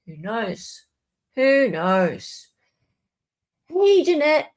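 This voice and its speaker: A woman's voice: sits high, sing-song, very slow, a little mellow. A woman hosting a casual live legal commentary chat, talking informally and directly to her viewers. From Australia. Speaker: livestream host.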